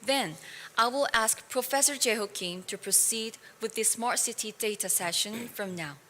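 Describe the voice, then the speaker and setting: A woman's voice: on the high side, moderate pace, bright, forceful tone. A woman announcer giving a formal, scripted introduction of a session moderator. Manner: formal, read-out delivery. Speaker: event announcer. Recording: reverberant hall with faint ambient noise.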